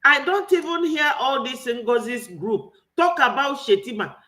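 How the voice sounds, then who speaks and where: A man's voice: very high-pitched, expressive, measured pace, sharp tone. A man with a Nigerian accent giving an impassioned political commentary, full of rhetorical questions. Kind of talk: online political commentary monologue.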